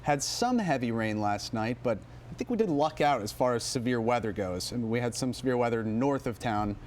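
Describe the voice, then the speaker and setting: A man presenting the morning TV weather forecast in a relaxed, chatty way, thinking aloud with casual asides. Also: medium pitch, a little fast, slight background noise. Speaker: TV meteorologist.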